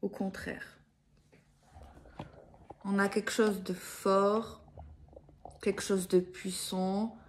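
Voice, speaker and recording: Woman, card reader speaking casually to viewers. Unhurried, halting, repeats and rewords as she goes. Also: medium pitch, level tone, slight background noise. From France. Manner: hesitant, circling, repeats and rephrases herself. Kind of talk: improvised spiritual guidance, casual chat to viewers.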